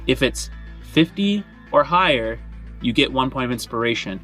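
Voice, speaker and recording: A man speaks as game master and host of a tabletop game show. His voice is mid-pitched and his storytelling is casual and conversational, with light music underneath. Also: animated, normal speed, pushed and bright. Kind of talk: hosting and narrating a tabletop game.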